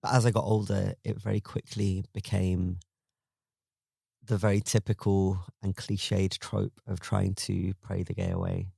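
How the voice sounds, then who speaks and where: A man in his twenties telling a personal story in a thoughtful, wry and measured way. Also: deep voice, little pitch movement, gravelly, dark tone, breathy edge, dry recording, clean studio sound. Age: 20s. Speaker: guest storyteller.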